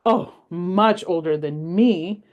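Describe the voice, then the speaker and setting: A woman's voice: fairly low pitch, slow, highs cut off. A woman doing a wise old grandma. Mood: fond. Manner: gentle, storytelling, reassuring. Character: warm, wise old grandmother, patient and playful. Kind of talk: read-aloud storytelling.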